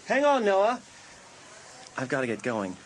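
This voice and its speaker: A man's voice: fairly high pitch, wildly swooping pitch, measured pace, slight background noise, rough recording. An actor playing a casual, agreeable teenage boy in a hurry, in an audiobook drama. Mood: casual, hurried.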